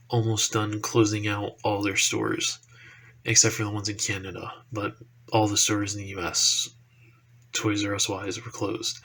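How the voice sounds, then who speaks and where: A young man, stuffy from allergies, talks in a slow, loose ramble full of ums and cursing. His low voice is bright and edgy but barely rises or falls. A faint hum of room noise sits behind him. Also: husky. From Texas. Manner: relaxed rambling with frequent ums and profanity. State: congested from allergies. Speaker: action figure YouTuber. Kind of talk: casual solo podcast talk.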